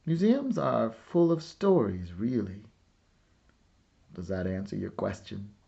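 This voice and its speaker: A thoughtful old storybook grandfather, played by a man, speaks slowly and patiently. His low voice has a creaky edge. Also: lively intonation, mellow, lo-fi sound, heavily acted.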